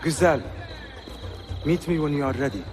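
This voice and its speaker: Young Ottoman prince for a game, male actor: courteous, measured, formal, fairly high-pitched. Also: music in the background, crowd noise, lightly acted. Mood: composed. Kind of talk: dramatic game cutscene acting.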